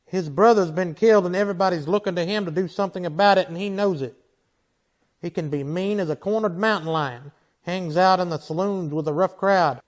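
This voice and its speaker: A man's voice: sits high, brisk, close to an everyday voice. An actor playing a stern, wry Old West marshal in a radio drama, blunt and folksy in his manner. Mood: exasperated warning. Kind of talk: old-time western radio drama acting.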